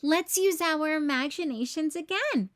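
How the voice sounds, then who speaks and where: A woman's voice: high-pitched, unhurried, a bit bright, pitched above the performer's own voice. A woman voicing a cheerful, friendly male dinosaur in a children's storybook, encouraging and exclamatory.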